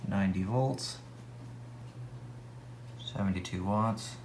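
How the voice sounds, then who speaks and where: Low-voiced man tinkering and thinking aloud. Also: slow, reverberant, slight background noise. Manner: relaxed, thinking aloud, easily amused. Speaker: radio collector testing an antique set.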